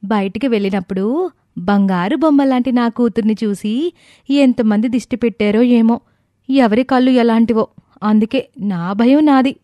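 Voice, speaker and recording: A woman's voice: a little high, moderate pace, no room sound, highs cut off, lightly acted. A woman playing a loving, firm young mother in a dramatized moral story, speaking with emphatic, pleading feeling. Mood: doting.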